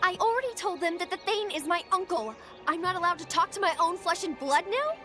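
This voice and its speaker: A spirited, outspoken young girl for a game cutscene. Her voice is very high and earnest, with music playing behind it. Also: lively intonation, a little fast, bright, forceful tone, heavily acted. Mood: indignant. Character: plucky, indignant little girl. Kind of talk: video game cutscene acting.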